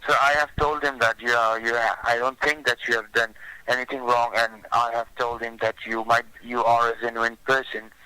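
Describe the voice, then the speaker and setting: Male phone scammer, bright forceful tone, flat intonation, formal and deferential. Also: mid-range voice, moderate pace, clear, resonant tone, dry recording, poor audio. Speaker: phone scammer posing as a government officer. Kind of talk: scripted phone pitch with improvised replies.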